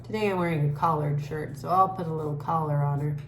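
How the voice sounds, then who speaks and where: A woman giving a casual art lesson for children, musing gently aloud as she draws. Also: low-pitched, fairly even intonation. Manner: gentle, musing, talks while drawing with trailing pauses. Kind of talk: casual creative drawing lesson, thinking aloud. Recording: echoey room with clear background noise.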